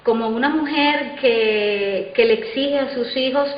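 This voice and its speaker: A woman with a fairly high voice speaks in interview style, like an actress discussing her work. The room is echoey and the sound dull, with faint noise. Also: unhurried. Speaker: radio actress. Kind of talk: interview talk about a role.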